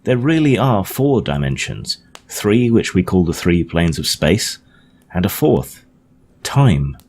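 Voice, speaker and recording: Man voicing an earnest Victorian gentleman scientist, noisy recording. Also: sits low, sing-song, slow, heavily acted. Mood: animated lecturing. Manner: didactic, emphatic, precise. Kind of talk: audiobook character dialogue. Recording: clearly noisy home recording.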